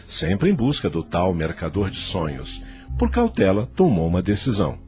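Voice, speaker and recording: Male narrator, Brazilian accent, low register, animated dramatic delivery for scripted audio-novel storytelling. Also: normal speed, faint music behind. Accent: Brazilian Portuguese. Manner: theatrical, literary storytelling.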